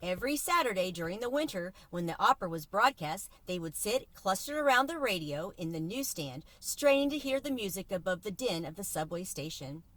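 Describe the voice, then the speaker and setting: Bright, lively woman reading a children's story. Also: medium pitch, fairly quick, few pauses. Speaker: read-aloud storyteller. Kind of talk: expressive reading of a children's novel.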